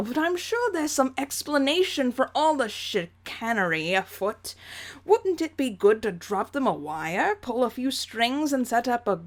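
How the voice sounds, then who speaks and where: A woman voicing an earnest, gullible gentleman with a put-on English accent and a quaint, mild manner. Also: on the high side. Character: earnest, gullible gentleman with old-timey fake English speech.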